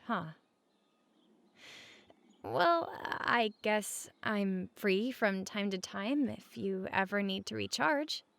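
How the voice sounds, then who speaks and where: A woman playing a friendly, reassuring roommate in a conversational comfort roleplay, speaking gently and casually at regular volume. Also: medium pitch, gravelly. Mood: reassuring, caring. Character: friendly, reassuring young female roommate offering company.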